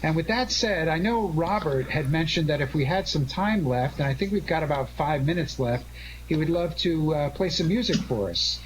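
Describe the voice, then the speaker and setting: A man in his forties or older chatting casually and earnestly as a live radio host, halting and full of thanks, over an internet call. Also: a little high, lively intonation, low-quality recording. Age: 40s or older. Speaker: show host and director. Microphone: Skype-type connection. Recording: internet call with background noise.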